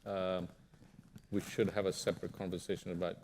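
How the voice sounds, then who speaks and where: A man moderating a conference panel, giving brisk, short prompts to speakers. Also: sits low, flat delivery, darkish tone, a little hiss or hum. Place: conference hall.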